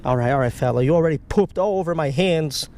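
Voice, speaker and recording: A man giving casual, excitable running commentary while fishing outdoors at a creek, talking to the fish and his viewers, recorded on a chest-mounted action camera. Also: a little high, fast, a little hiss or hum. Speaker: fishing vlogger. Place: outdoors by a creek under a stone bridge.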